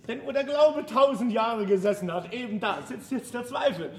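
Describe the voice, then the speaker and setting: A man's voice: very high-pitched, normal speed, darkish tone. A man playing an exuberant, impatient scholar and teacher, declaiming emphatically in a classic radio play. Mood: enthusiastic, visionary. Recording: roomy sound with faint noise.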